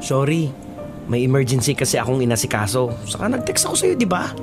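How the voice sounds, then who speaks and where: Young male two-timing boyfriend, acted by a man, lively intonation, impatient, radio drama, music underneath. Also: medium pitch, fast talker, close to an everyday voice. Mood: evasive. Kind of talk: Filipino radio drama acting.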